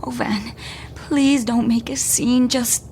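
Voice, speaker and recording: A woman playing a shy, anxious young honeymooning wife in an audio drama, fretting in a hushed, breathy, naturalistic way. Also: a little high, steady tone, takes it slowly, a little hiss or hum.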